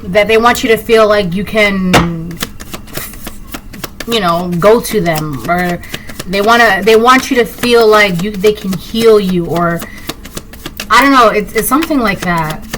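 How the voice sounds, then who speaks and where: A woman's voice: medium pitch, a little slow, background noise. A Spanish-speaking woman from Puerto Rico giving a casual tarot reading, rambling with lots of fillers and restarts. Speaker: tarot reader. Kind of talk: casual intuitive reading to camera.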